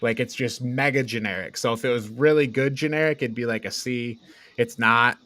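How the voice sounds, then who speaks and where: A man with a mid-pitched voice hosts a relaxed review discussion. He speaks informally and haltingly, with plenty of filler slang. The sound is dry and free of room echo. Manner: informal, halting, frequent "like" and "dude". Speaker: host of a media review podcast. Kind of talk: casual movie review chat.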